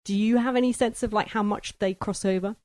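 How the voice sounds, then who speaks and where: A woman's voice: mid-range voice, fairly even intonation, a little fast, darkish tone, no echo. A woman hosting a conversational book podcast, inquisitive and drawing her guests out. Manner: inquisitive, draws guests out with questions. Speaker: podcast host.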